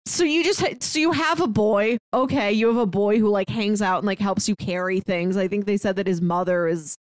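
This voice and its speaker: Woman doing a casual film recap, mid pitch, really dry close recording, kinda wry. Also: brisk, no echo. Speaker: podcast co-host reviewing films.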